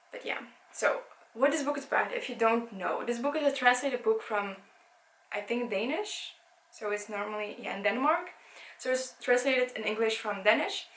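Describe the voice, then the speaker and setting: A woman's voice: medium pitch, a bit bright. A young woman from Belgium, a native Dutch speaker, chatting casually about books with a rambling, self-correcting manner. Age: young adult. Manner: chatty, rambling, many restarts. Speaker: book vlogger. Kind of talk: casual book review. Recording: echoey room.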